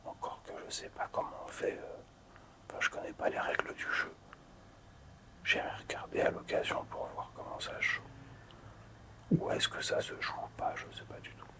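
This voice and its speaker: A man hosting casual ASMR in a breathy, unvoiced whisper, hushed, hesitant and appreciative. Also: moderate pace, long pauses. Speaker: ASMR video host.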